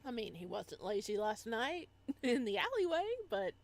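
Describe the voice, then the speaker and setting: A woman's voice: on the high side, big pitch swings, moderate pace. A woman playing through a visual novel, reading narration aloud and breaking in with casual, playful, teasing commentary. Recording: noticeable background noise.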